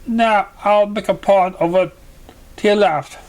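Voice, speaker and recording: A man's voice: sits high, fairly even intonation, unhurried, darkish tone, pitched above the performer's own voice. A man voicing a grand, congratulatory magical contest master in a storybook reading, proclaiming with theatrical praise. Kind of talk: storybook character voice in fan fiction reading.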